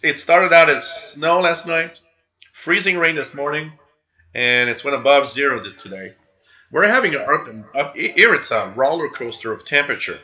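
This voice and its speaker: Bright-voiced Quebec French host, chatty. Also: male voice, fairly high pitch, lively intonation, moderate pace, muffled recording. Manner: casual, rambling, reads chat names aloud. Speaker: radio show host. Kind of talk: live-stream chat reading viewer comments.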